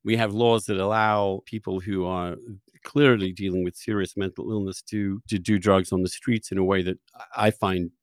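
Male podcast host, fairly low voice, very dry close recording, reflective and emphatic in discussion. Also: fairly even intonation, moderate pace, no room sound. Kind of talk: conversational interview and debate.

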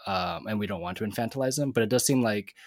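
Male co-host, low voice, dry close recording, tentative and reflective. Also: quick pace, no echo. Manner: thoughtful, hedging, many fillers and restarts. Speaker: podcast co-host. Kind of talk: casual chat.

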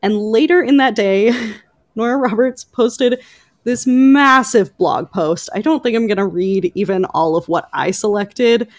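A woman co-hosting a podcast, talking through book news conversationally with hesitant pauses as she picks her words. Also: medium pitch, no echo, studio quality. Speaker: podcast co-host.

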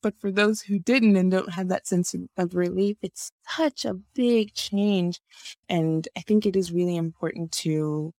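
A woman's voice: on the low side, unhurried, a little mellow, no echo, studio quality. A middle-aged woman from Nigeria, a naturopathic physician, talking thoughtfully in an interview and laughing often. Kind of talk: conversational interview.

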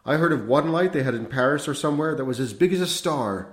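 A man's voice: medium pitch, expressive, brisk, a little mellow, close to an everyday voice. A man voicing an agitated, unhinged middle-aged priest who rambles feverishly and insistently in an audiobook. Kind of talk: audiobook character voice. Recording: roomy echo.

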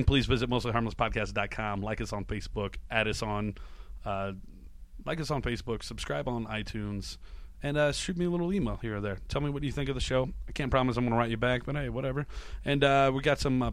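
A man's voice: mid-range voice, quick pace, no echo. A man hosting a podcast, rambling casually through an introduction in an informal, self-deprecating way. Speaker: podcast host.

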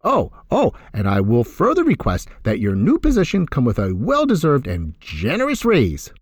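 A man's voice: medium pitch, wildly swooping pitch, normal speed, breathy edge, dry recording, studio quality, pitched above the performer's own voice. A man voicing a reformed boss who is giddy and gleeful, talking in a theatrical sing-song.

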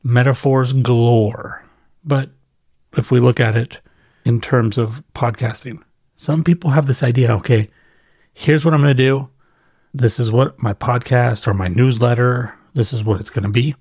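A man giving a casual, reflective solo monologue off the cuff like a podcast host, conversational and thoughtful, leaning on repetition and pauses for emphasis. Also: mid-range voice, fairly even intonation, darkish tone, slightly rough, no room sound, studio quality. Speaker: podcast host and newsletter writer.

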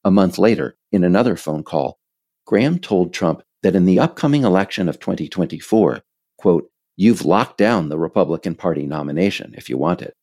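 An American man reading a scripted narration as its author, in a measured way with emphasis on key phrases. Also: low-pitched, creaky, darkish tone. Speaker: author narrating own work.

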